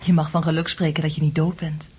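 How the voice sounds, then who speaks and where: An actress in a Dutch radio play playing a caring, calm woman with a breathy, husky voice. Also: sits low, quick pace, slight background noise, lightly acted. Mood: soothing, grave. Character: caring, calm woman soothing a troubled man.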